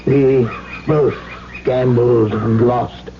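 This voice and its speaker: An actor playing a grave, sorrowful old alchemist, solemn and deliberate, in a 1970s radio drama. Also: male voice, mid-range voice, fairly even intonation. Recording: dull, hissy 1970s radio broadcast.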